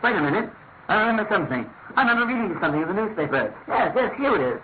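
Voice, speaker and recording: Earnest, amiable male sidekick and storyteller, slightly high voice, measured delivery, old radio drama on a thin phone-like band. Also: big pitch swings, slight background noise. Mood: eager, informative. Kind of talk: classic radio drama narration and dialogue. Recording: tinny narrow-band radio sound, music behind.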